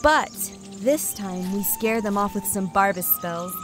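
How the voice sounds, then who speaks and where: A woman playing a sharp-tongued, scheming thief in an audio drama. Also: medium pitch, lively intonation, unhurried, music in the background, lightly acted.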